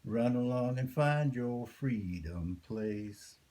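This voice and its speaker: A man voicing a friendly, folksy talking mud turtle from a folktale, with an unhurried, half-singing delivery. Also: medium pitch, lively intonation, mellow. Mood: teasing, sing-song.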